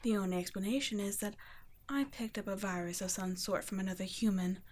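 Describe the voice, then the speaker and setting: A woman playing a gentle, protective vampire caretaker in an intimate ASMR roleplay, speaking softly and breathily, often nearly whispering. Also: medium pitch, brisk, slight background noise, close to an everyday voice. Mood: gently firm. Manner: soft, breathy, tender, often dropping to a whisper.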